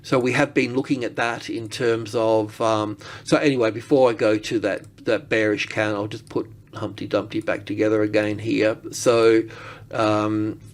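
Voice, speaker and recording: A man giving unscripted market analysis as he works through charts, rambling and halting as he thinks aloud. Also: fairly low pitch, flat delivery.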